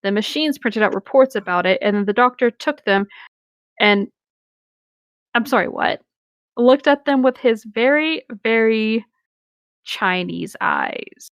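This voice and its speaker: A woman's voice: a little high, lively intonation, measured pace, long pauses, clear, resonant tone, no room sound. A woman co-hosting a casual comedic read-along, moving between reading a story aloud and chatting. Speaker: co-host reading fan fiction aloud.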